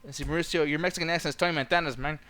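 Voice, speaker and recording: A man's voice: fairly high pitch, fairly even intonation, racing, sharp tone. A man casually chatting, cursing and laughing while streaming a horror game. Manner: profane, joking, laughs often. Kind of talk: casual gaming stream chat.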